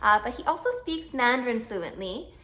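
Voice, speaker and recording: A woman's voice: fairly high pitch, lively intonation, normal speed, a bit bright. A woman in her thirties from Canada, chatting casually to camera in a vlog, self-deprecating and full of fillers. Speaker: vlogger.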